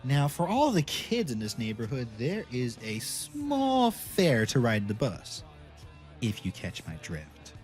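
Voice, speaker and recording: A man's voice: mid-range voice, wildly swooping pitch, a little mellow, soft background music. Man acting a wildly swooping, cocky boy bully. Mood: smug, taunting. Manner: taunting, drawn-out, mock-polite. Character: cocky, menacing twelve-year-old neighborhood bully boy. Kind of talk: horror audio drama acting.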